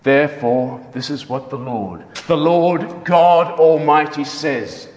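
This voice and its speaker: A man's voice: fairly high pitch, slow pace, a little mellow. A man performing a dramatic scripture reading as a stern, wrathful God speaking through a prophet, solemn, declamatory and emphatic. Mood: stern, condemning. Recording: echoey hall with long reverb.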